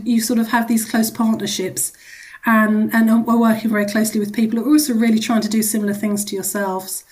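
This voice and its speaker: A woman's voice: fairly high pitch, darkish tone. A woman speaking as a charity executive guest in a radio interview, explaining things in a chatty, informal way.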